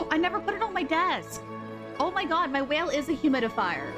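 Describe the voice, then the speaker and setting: A young woman streams live and chats with her viewers in a quick, scatterbrained way, gasping now and then. Her voice sits a little high and sounds sore in the throat. Music plays clearly behind her. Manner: scatterbrained, chatty, excitable gasps. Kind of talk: casual live-stream chat. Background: background music.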